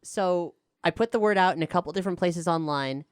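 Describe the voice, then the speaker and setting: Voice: medium pitch, a little fast, clear, resonant tone, no echo, studio quality. A showrunner and writer speaking candidly and with self-deprecation in a casual panel question-and-answer chat. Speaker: showrunner, writer and player of an audio drama.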